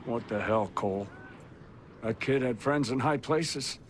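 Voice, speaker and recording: A man's voice: mid-range voice, measured pace, a little hiss or hum, close to an everyday voice. An actor playing a stern army superior officer reprimanding his men in a clipped, commanding way. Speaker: senior military commander. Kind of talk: video game cutscene acting.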